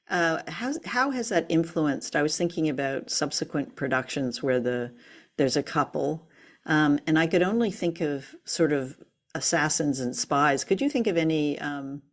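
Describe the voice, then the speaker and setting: A woman's voice: low-pitched, animated, normal speed. A woman co-hosting a casual review chat, talking in a thoughtful, lightly teasing way. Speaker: co-host of a radio drama review show.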